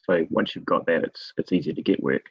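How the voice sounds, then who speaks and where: Gravelly, very deep-voiced man from New Zealand. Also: a little fast, dry recording, highs cut off. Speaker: guest expert in forest monitoring. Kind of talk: conversational interview storytelling.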